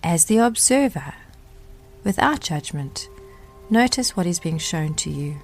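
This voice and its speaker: Low-pitched woman guiding meditation over music. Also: animated. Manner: measured, gentle, deliberate phrasing with pauses. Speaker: meditation guide. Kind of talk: guided meditation narration, instructional.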